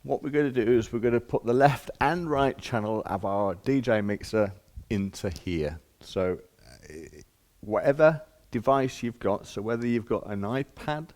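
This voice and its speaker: Low-pitched man explaining casually. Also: a little mellow. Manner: casual, plain-spoken step-by-step explaining. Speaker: shop demonstrator presenting sound equipment. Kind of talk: informal hands-on product demonstration.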